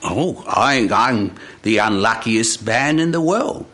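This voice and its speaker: A man's voice: lowish voice, lively intonation, slow pace, bright, forceful tone, heavily acted. A man voicing a meek, miserable little man in a told story, hesitant as he starts to speak. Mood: timid. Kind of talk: live storytelling.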